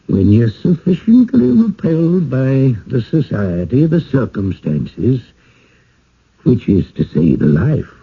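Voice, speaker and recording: An actor playing a polite, fretful, gently persuasive village host in a radio drama, with courteous old-fashioned phrasing. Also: male voice, mid-range voice, creaky, husky, exaggerated voice. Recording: muffled, phone-like old radio recording.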